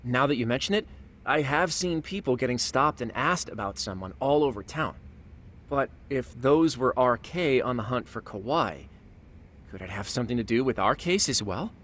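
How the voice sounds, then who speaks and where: A man's voice: medium pitch, expressive, moderate pace, fairly bright tone, natural voice. Male actor as earnest young male colleague, mid-range voice, game dub, faint background noise. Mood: concerned. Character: earnest junior male colleague at a law office. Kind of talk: video game English dub acting.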